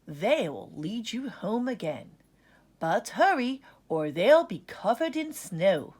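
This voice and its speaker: A woman voicing a helpful, calm owl in a storybook, hooting and giving drawn-out advice. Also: mid-range voice, slightly rough, pitched above the performer's own voice, exaggerated voice. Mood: advising.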